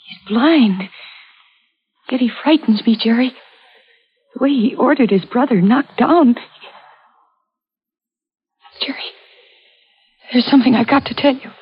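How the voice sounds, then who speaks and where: An actress playing an anxious, frightened sister in a 1940s radio thriller, husky and earnest. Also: female voice, fairly high pitch, a little slow, long pauses. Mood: fearful. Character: frightened, anxious sister stranded in a sinister house. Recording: dull old radio recording with music.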